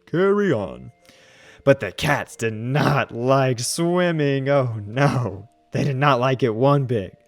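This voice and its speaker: A man reading a children's storybook aloud as an animated narrator. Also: medium pitch, slow pace, hardly any pauses, no room sound. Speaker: children's book reader.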